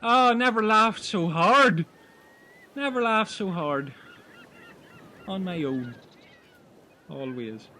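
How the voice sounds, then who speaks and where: Man acting out a high, excitable, whiny castaway. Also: slow pace, long pauses, a little hiss or hum, full character voice. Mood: sulky. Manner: whiny, melodramatic. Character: excitable, easily wounded, foolish castaway man. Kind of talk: improvised comedy audio drama.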